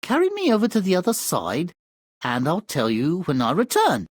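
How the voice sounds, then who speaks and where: Lively, cocky young lad. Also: male voice, high-pitched, moderate pace, breathy edge, no room sound, clean studio sound, full character voice. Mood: confident. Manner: casual, breezy, overconfident. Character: cocky, carefree young lad, a luck child.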